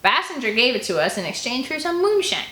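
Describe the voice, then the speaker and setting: A woman voicing a gruff, scornful country woman with a put-on rustic, slightly piratical accent in an audiobook. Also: on the high side, sing-song, fairly quick, sharp tone, roomy sound, pitched above the performer's own voice. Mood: defensive.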